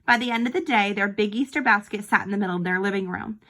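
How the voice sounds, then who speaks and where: A woman with a bright, forward voice and a middle pitch reads a children's story aloud. She sounds like a teacher, telling it expressively and pausing for little explanations to her young listeners. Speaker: teacher reading a picture book aloud.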